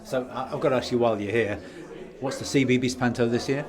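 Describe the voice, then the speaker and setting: A man's voice: medium pitch, steady tone, fast, crowd noise. A man hosting a podcast, talking casually with his interview guest.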